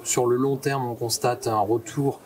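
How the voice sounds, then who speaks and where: A man's voice: mid-range voice, steady tone, a little slow, distant mic. A man asking interview questions conversationally, searching a little for his phrasing, recorded slightly away from the microphone. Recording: off-mic, crowd murmur behind.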